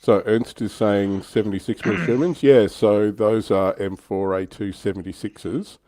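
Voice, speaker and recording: A man's voice: on the low side, steady tone, measured pace, mellow, no echo. A man talking casually as a panellist on a hobby livestream. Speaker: livestream panellist on a tabletop wargaming show.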